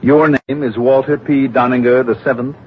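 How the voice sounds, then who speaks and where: An actor playing a cool, tough insurance investigator with dry, terse delivery in an old radio detective show. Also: male voice, mid-range voice, fairly even intonation, moderate pace, natural voice. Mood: wry, firm. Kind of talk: old-time radio detective acting and narration. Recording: noisy, muffled old radio recording.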